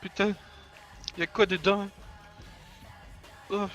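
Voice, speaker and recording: A man's voice: high-pitched, little pitch movement, takes it slowly, fairly bright tone. A man in casual improvised game roleplay, talking in a slangy, exclamatory way. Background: music.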